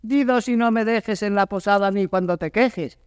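An actor playing a cowardly, grumbling comic manservant in a verse play, clownish and exclamatory. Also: male voice, sits high, moderate pace, clean tone, dry recording, clean studio sound, in a large hall, lightly acted. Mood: complaining. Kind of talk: classical Spanish verse comedy, theatrical.

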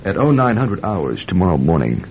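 An actor playing a dutiful, guarded army general with a restrained, formal manner in a classic radio drama. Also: male voice, deep voice, very animated, normal speed, vocal fry, darkish tone, exaggerated voice. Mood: formal, obedient. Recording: dull, noisy old radio recording.

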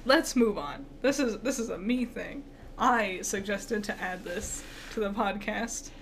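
A woman's voice: mid-range voice, measured pace, poor audio. Profane laughing woman podcaster. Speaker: podcast co-host. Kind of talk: casual podcast chat. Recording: faint background noise.

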